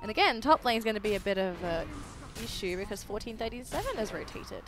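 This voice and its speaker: A woman's voice: mid-range voice, animated, fast talker, over music. A woman working as an esports caster, mixing live play-by-play of a game with casual banter.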